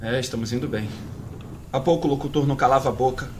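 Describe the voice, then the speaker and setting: An actor playing a rattled, talkative uncle at the wheel in a fan-dubbed video game, agitated and conversational. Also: male voice, medium pitch, fast talker, darkish tone, slight background noise, close to an everyday voice. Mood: uneasy, informative. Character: rattled, talkative uncle driving the getaway car. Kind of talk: fan-dub game acting in Portuguese.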